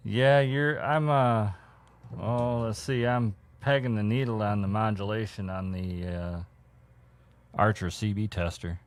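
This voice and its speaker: Real low voiced guy, laid back cb hobbyist just chatting and explaining stuff. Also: fairly even intonation, a little slow, slight background noise. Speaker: CB radio hobbyist. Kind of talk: casual radio chat with explanations.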